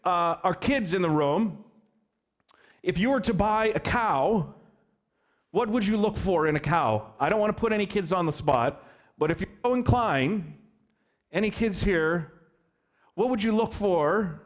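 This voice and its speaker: A man preaching a sermon as pastor, telling a story in an animated, joking way and asking the children questions. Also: high-pitched, measured pace, pauses often. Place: church hall.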